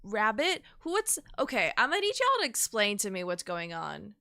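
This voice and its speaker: Woman streamer, under the weather and nasal, rambling and cursing casually, bright voice with some vocal fry. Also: medium pitch, huge pitch swings, normal speed. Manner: rambling, swears casually, self-deprecating. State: sick, nasal and sniffly. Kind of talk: casual live-stream chat.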